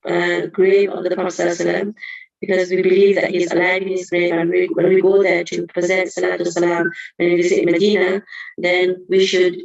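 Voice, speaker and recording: A woman's voice: on the low side, monotone, fast talker, vocal fry, a little mellow, lo-fi sound. A woman giving an earnest Qur'an study lesson, explaining verses carefully with reverent honorifics. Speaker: religious teacher.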